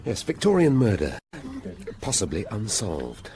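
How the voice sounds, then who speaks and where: An actor playing a gruff, inquisitive middle-aged police detective in a radio drama, with a brusque, questioning manner. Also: male voice, lowish voice, huge pitch swings, a little slow, a crowd in the background. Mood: keen, testy.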